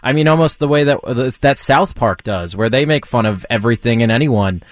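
A man's voice: mid-range voice, animated, brisk, dry recording, clean studio sound. A man, the show's producer, asking thoughtful, rambling questions with lots of restarts. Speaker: executive producer. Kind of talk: talk radio conversation.

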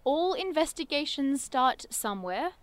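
A woman's voice: high voice, big pitch swings, unhurried. A woman playing a curious, bookish cryptid investigator in an audio drama, earnest and wordy with dry playfulness. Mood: thoughtful, intrigued. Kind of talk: audio drama acting, naturalistic dialogue.

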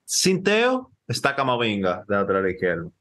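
A man co-hosting a football podcast, talking fast and excitably with lots of slang and jumping in over his co-host. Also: fairly low pitch. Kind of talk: casual football chat.

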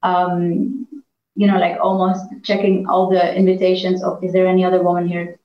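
A woman's voice: lowish voice, monotone, normal speed. A woman from Iran, a negotiation trainer and coach, giving earnest interview answers with frequent fillers and restarts. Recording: echoey, dull lo-fi room sound.

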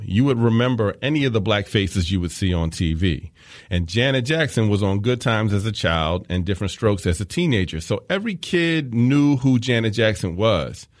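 A man's voice: fairly low pitch, no room sound, studio quality. A man hosting a podcast, telling a story in scripted narration with enthusiastic, candid asides. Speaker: podcast host.

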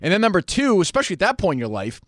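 Fast-talking, animated man hosting an interview. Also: high voice, dry recording, clean studio sound. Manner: blunt, profane. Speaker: interview show host. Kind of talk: casual conversational interviewing.

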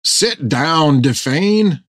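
A man voicing a cocky, easygoing rogue sailor in an audiobook, with brash, rough talk. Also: a little high, animated, very slow, no echo, clean studio sound, pitched above the performer's own voice, full character voice. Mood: scoffing. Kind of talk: audiobook character dialogue.

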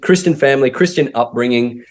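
A man's voice: mid-range voice, fairly quick. A middle-aged Australian man, a missionary pastor, telling his life story in an interview with many fillers and restarts. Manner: hesitant, full of fillers and restarts.

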